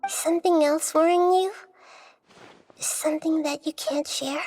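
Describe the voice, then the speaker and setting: A woman voicing a small, young, wise and scholarly girl deity in a video game, calm, measured and articulate. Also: high-pitched. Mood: thoughtful. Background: faint background music.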